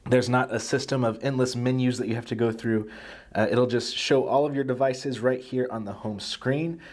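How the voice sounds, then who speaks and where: A man walks through a product demonstration in an easygoing, step-by-step style. His voice sits at a medium pitch, and he explains each feature in plain, practical terms. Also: fairly even intonation, normal speed, a little mellow. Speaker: tutorial presenter.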